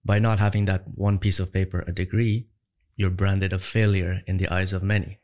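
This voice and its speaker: A man's voice: deep voice, little pitch movement, normal speed, darkish tone. A young man from Ireland, a business founder, answering interview questions in an emphatic, conversational way that builds into punchy rhetorical questions. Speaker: entrepreneur guest.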